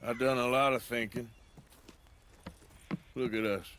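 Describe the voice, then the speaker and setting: An actor playing a weary, protective middle-aged outlaw in a video game, grave and plain-spoken, with an American Western drawl. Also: male voice, mid-range voice, fairly even intonation, a little slow, a bit bright, slight background noise, lightly acted. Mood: weary, disillusioned. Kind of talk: dramatic video game acting.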